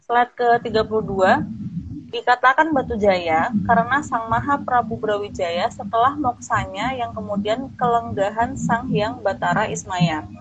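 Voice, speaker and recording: A woman host reading slide text aloud in a steady, formal way during an online talk. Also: a little high, a little slow, highs cut off, a little hiss or hum. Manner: steady formal read-through, brief acknowledgements.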